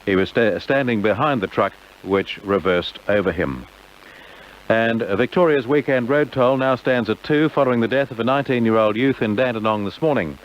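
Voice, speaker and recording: An Australian man presenting on radio in a measured announcer's delivery, reading information and news. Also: medium pitch. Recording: dull, noisy old AM radio tape.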